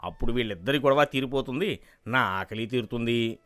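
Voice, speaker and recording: A man's voice: mid-range voice, fairly quick, fairly bright tone, close to an everyday voice. A man playing a gluttonous, short-tempered landlord with a pompous, commanding manner in a storybook cartoon. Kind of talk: animated storybook dialogue.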